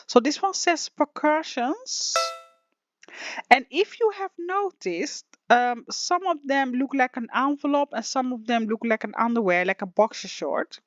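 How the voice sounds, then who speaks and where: A woman with a slightly raspy voice talks slowly through a casual software lesson, rambling and restarting often. The recording is dull and lo-fi, with a dry, close sound. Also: high-pitched, animated, no echo, faint music behind. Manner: rambling, emotional, many restarts and fillers. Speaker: online music software teacher. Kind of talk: casual screen-share tutorial.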